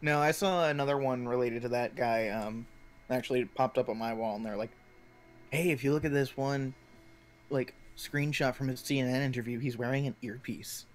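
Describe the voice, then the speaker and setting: A man's voice: medium pitch, moderate pace. An American man co-hosting a casual chat stream, talking in a conversational, hedging way. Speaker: stream co-host.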